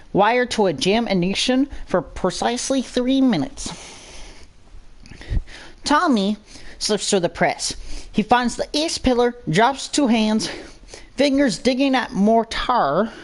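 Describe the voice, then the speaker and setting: A channel host narrating a scripted story aloud in a measured reading style. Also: medium pitch, animated.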